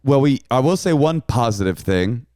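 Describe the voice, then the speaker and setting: A middle-aged man co-hosting a podcast, chatting in a rambling, self-mocking way with mock bravado, his voice a little hoarse. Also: medium pitch, animated, normal speed, dark tone, no echo, clean studio sound. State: slightly hoarse from touring. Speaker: podcast co-host. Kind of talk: casual comedic chat.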